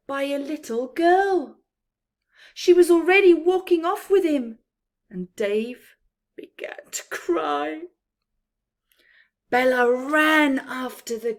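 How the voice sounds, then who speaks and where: A woman reading a picture book aloud to young children, slow and deliberate, with dramatic, hushed storytelling delivery. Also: high voice.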